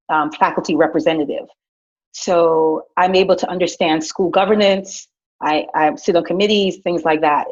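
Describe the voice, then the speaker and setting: A middle-aged woman from Guyana, an educator, telling her leadership story in a lively, chatty way with fillers and restarts. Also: on the low side. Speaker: director of innovation at a school. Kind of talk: personal leadership story, casual. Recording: dull lo-fi recording.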